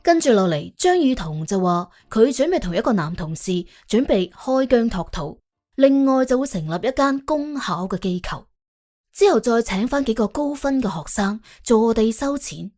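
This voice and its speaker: A woman narrating an audiobook in colloquial Cantonese, telling the story in an expressive, wry way. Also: a little high, moderate pace. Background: faint background music.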